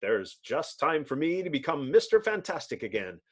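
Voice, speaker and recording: A man voicing an excitable male comic-book hero in a breathless, exclamatory, melodramatic reading. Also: a little high, normal speed. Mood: urgent. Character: excitable, heroic male scientist and young sidekick.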